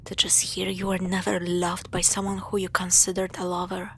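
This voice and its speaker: A woman playing a teasing, affectionate young girlfriend in an intimate scripted audio roleplay, with sarcastic banter and hushed asides. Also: fairly low pitch, monotone, normal speed, a little hiss or hum. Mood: hushed storytelling.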